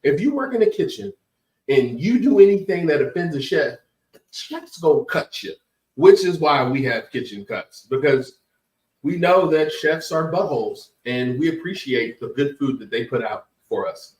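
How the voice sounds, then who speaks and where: A man's voice: a little high, animated, normal speed, darkish tone. A man hosting a casual cooking podcast, joking around and plugging the network's other shows. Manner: joking, hypes upcoming shows. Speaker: podcast host. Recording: roomy, distant mic.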